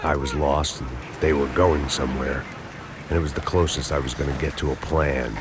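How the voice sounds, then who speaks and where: An American man playing a weary, cynical middle-aged ex-cop antihero, narrating in a deadpan, hard-boiled noir style for a video game. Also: extremely low pitch, moderate pace, noisy background. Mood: grim, sardonic. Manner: deadpan, sardonic, world-weary. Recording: music playing behind the voice.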